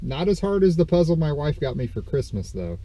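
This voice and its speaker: A man's voice: a little high, very animated, fairly quick, mellow, low-quality recording. A man rambling casually through a haul of estate sale finds, stuffed up and congested from a dust allergy, full of ums and self-corrections. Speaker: vlogger showing reseller finds. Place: parked car. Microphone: camera on the steering wheel.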